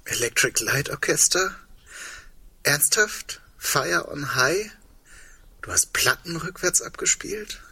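Husky, shaken, grieving man. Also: fairly high pitch, very animated, slow pace, pushed and bright, exaggerated voice. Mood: curious, incredulous. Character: shaken, grieving man facing the end of the world. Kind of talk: intimate radio play dialogue.

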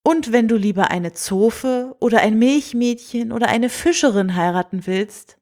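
A woman voicing a kindly, reassuring king and father in a storybook, calm, friendly and unhurried. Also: on the high side, lightly acted. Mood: encouraging. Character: kindly, reassuring old-fashioned fairy-tale king and father.

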